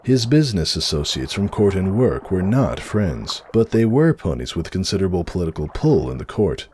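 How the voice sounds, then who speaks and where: Very deep-voiced man narrating with lively swings. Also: normal speed, a little hiss or hum. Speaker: fan fiction narrator. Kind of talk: scripted dramatic storytelling.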